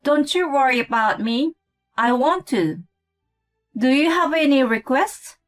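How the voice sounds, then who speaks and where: A woman's voice: sits high, animated, unhurried, faint music behind, pitched above the performer's own voice. A woman voicing a cheerful, eager mother in a story, with a sweet, insistent manner. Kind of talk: acted story dialogue.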